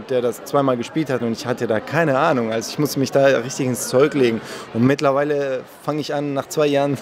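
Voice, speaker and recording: Argentine-born man, calm and measured. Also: mid-range voice. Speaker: conductor, festival guest. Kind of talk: interview answers. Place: indoor room at a festival. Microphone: handheld mic held by interviewer.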